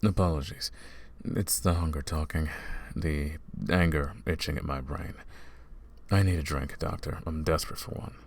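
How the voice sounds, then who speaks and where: A man voicing a brooding male vampire who hunts vampires, soft-spoken and calm in an ASMR roleplay. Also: extremely low pitch, moderate pace, gravelly, exaggerated voice. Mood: weary.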